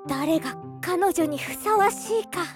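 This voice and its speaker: Brief: timid high-school girl played by an actress, very high, hesitant delivery. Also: animated, soft background music. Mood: curious. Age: teens. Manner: hesitant, shy, halting. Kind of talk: radio drama acting.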